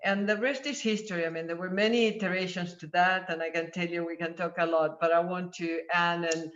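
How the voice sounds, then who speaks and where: Brief: Argentinian woman panelist in her fifties, animated storyteller, dull, echoey audio. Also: lowish voice, brisk. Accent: Argentinian Spanish. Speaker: panelist, corporate sustainability executive. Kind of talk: panel discussion answer. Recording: echoey, muffled.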